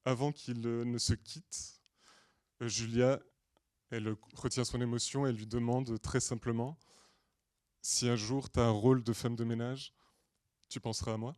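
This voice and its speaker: Man pitching a story, deliberate delivery. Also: flat delivery, darkish tone, no echo, studio quality. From Portugal. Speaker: screenwriter and director pitching a feature film. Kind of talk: prepared film pitch, storytelling to an audience. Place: screening room at a film school.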